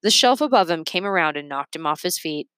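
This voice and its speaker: A woman narrating a children's book aloud in an expressive read-aloud style. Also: sits low, a little fast, clean tone, no room sound.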